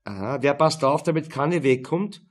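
Man acting a loud, gruff Austrian police father. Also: a little high, expressive, normal speed. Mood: sneering. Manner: loud, sneering, interrogating.

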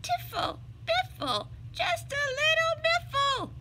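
Woman as tiny playful bird, picture-book character, high-pitched, singsong, swooping, noisy recording. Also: big pitch swings, slow, heavily acted. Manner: singsong nonsense chirping. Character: silly, playful little female brown bird.

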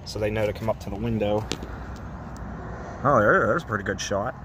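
A man's voice: lowish voice, brisk. A man walking around an empty parking lot, chatting casually as he films on a phone. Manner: chatty, amused, frequent laughs and asides. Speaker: urban exploration vlogger. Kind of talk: casual walk-and-talk narration. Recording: phone video outdoors with traffic noise. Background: traffic and outdoor ambience.

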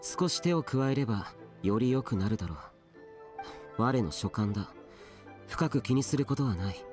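Guy doing a stoic anime immortal, young, mid pitch, stern old-fashioned wording, light music behind. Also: animated, unhurried, darkish tone, natural voice. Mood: calm, frank. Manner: terse, archaic, stern, reserved. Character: aloof, solemn young male immortal guardian. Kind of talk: Japanese anime game voice acting.

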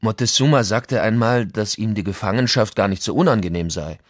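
A man's voice: fairly low pitch, brisk, no room sound, clean studio sound, lightly acted. A man playing a Spanish conquistador who recounts events in a formal memoir for an audio documentary. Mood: measured, formal. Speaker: reader of historical quotations. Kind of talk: dramatic reading of old chronicles.

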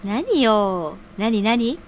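Woman playing a chatty, high-pitched, inquisitive friend. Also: sing-song, drawn-out words, slight background noise, heavily acted. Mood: teasing. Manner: feminine, chatty, nudging. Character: sociable, inquisitive, motherly-teasing woman friend. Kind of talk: scripted audio drama acting.

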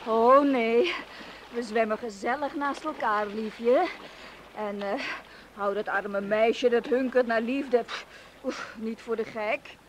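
A woman's voice: fairly high pitch, slow, background noise. An actress playing a flirtatious, menacing female crook in a radio play, cooing and teasing as she threatens. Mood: teasing menace. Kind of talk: radio drama acting.

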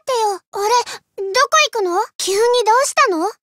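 Very high, girlish young game heroine. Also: brisk, a bit bright, no room sound, studio quality. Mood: playful, urging. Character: gentle, polite young Japanese woman in a game. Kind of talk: video game voice clips, short reactive lines.

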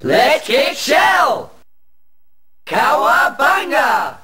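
A hyped teenage turtle hero. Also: male voice, very high voice, wildly swooping pitch, very slow, exaggerated voice. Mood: excited. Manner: shouting battle cries. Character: energetic teenage male mutant turtle hero. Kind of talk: 1990s arcade game voice clip.

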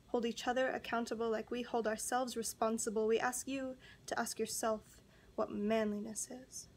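A young woman performing a slam poem in an earnest, pleading way, with measured, deliberate phrasing. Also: a little high, a little mellow. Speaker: spoken word poet. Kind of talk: slam poetry recitation.

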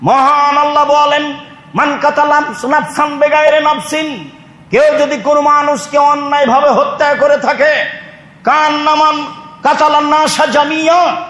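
A man's voice: very high voice, fairly bright tone. A Bangladeshi man preaching an Islamic sermon in an emphatic, declamatory way to a congregation. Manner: emphatic, declamatory, with Arabic recitation. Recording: echoey hall with long reverb.

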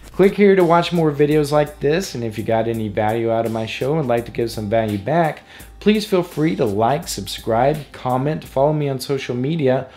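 A man's voice: mid-range voice, darkish tone. Man narrating a tutorial over music. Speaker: tutorial host. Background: background music.

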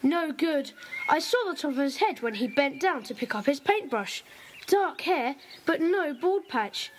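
Chatty boy detective, acted, high and lively, cassette audio drama. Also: measured pace, slight background noise. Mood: eager. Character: excitable boy club member. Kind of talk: radio play acting.

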